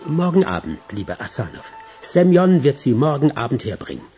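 Actor doing smug crime boss guy, mellow dark voice, lively pitch, music underneath. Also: a little fast, close to an everyday voice. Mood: smug, assured. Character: smooth, domineering male crime boss. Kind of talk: German radio crime drama acting.

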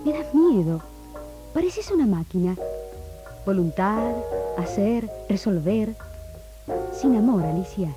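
An actress playing a concerned, reproachful confidante in a 1960s Argentine radio drama. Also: female voice, mid-range voice, lively intonation, slow, long pauses, poor audio. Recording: 1960s radio archive, music behind.

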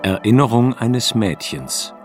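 A man's voice: mid-range voice, animated, measured pace, soft background music. A man announcing the title of a radio play in a scripted style.

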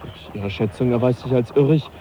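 An actor playing an uneasy, dryly ironic young naturalist in a radio play. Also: male voice, medium pitch, fairly quick, dark tone, slight background noise, close to an everyday voice, poor audio. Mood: wry. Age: young adult. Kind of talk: 1980s radio play acting.

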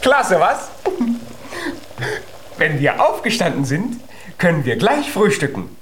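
A man's voice: on the high side, big pitch swings, slow pace, fairly bright tone, slight background noise, heavily acted. An actor playing a tiny, clever, stubborn young giant boy in a children's radio play, eager and insistent. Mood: excited, proud.

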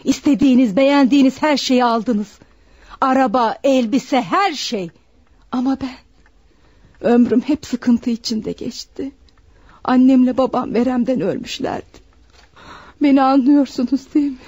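An actress playing a desperate, bitter servant woman confessing to murder in a deliberate, dramatic radio play style. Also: sits high, a little mellow, husky, no room sound. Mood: bitter, aggrieved. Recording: dull, dry radio recording.

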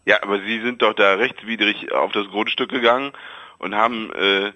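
A man's voice: lowish voice, steady tone, moderate pace, pushed and bright, no room sound. A man answering a phone call and chatting spontaneously with the caller. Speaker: prank call recipient.

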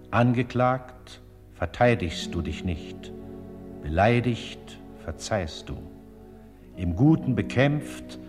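A man giving solemn, reverent poetic narration in a declamatory manner, as in a radio play. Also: on the low side. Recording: long reverb over music.

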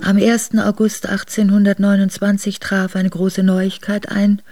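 A woman's voice: medium pitch, little pitch movement, normal speed, mellow, dry recording, clean studio sound, faint music behind, lightly acted. A woman playing a noblewoman who soberly recounts sorrowful memories of exile in a radio drama. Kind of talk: radio drama memoir narration.

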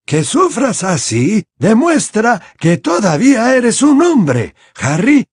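A male narrator voicing a calm, wise, very old male headmaster who speaks slowly and serenely with a breathy voice. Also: sits high, no room sound, clean studio sound, voice pushed up, exaggerated voice. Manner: slow, serene, gently insistent. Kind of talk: audiobook character voice.

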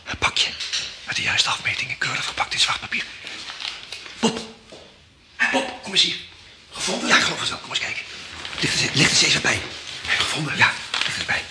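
A man acting in an old radio play, talking quietly and half-whispering while he searches in the dark. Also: on the high side, sing-song, brisk, long pauses, noisy background. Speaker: radio drama actor.

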